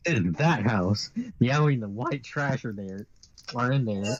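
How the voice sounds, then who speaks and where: A man's voice: mid-range voice, measured pace, close to an everyday voice. A man voicing a haughty, scornful female devil in an amateur anime fan dub, recorded in dull lo-fi sound. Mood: scheming.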